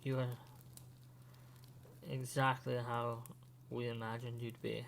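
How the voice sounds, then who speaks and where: A man playing an earnest, guarded, quietly supportive young man in a fan audio drama, speaking in a measured way. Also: mid-range voice, level tone, vocal fry. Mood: wistful. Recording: faint hum behind the voice.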